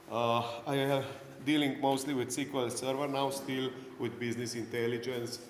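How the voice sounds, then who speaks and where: A middle-aged man from Slovenia, with a Slovenian accent, speaking English as a second language and giving a technical conference talk with dry self-deprecating humor and frequent "uh" fillers. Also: medium pitch. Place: large conference hall. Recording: echoey hall with faint noise.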